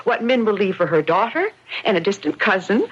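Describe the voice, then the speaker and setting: Earnest housewife with swooping voice. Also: female voice, mid-range voice, very animated, a little fast, husky. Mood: earnest, insistent. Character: earnest housewife insisting on her strange tale. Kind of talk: 1970s radio mystery drama acting. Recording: muffled old radio broadcast.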